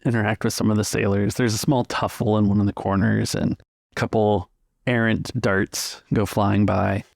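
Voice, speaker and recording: A man acting as game master, setting tabletop roleplay scenes in phrases with pauses, over guitar music. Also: on the low side, little pitch movement, creaky, no echo, studio quality. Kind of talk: improvised present-tense scene narration for tabletop roleplay. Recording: acoustic guitar music behind voice. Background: plucked guitar music.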